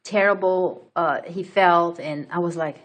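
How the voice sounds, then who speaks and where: Brazilian-accented woman, low voice, hesitant personal vlog. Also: steady tone, measured pace. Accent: Brazilian Portuguese. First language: Portuguese. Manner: hesitant, many fillers and restarts. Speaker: vlogger. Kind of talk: casual personal story to camera.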